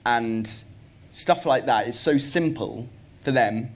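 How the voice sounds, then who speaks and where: A man's voice: mid-range voice, slow. Give me an earnest young man chatting easily as a panel guest. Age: young adult. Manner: earnest, easygoing, run-on sentences. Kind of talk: panel discussion.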